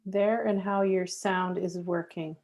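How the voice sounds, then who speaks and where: A woman moderating an online panel, calling on speakers calmly and patiently over a video call. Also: fairly low pitch, takes it slowly. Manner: calm, patient, facilitating.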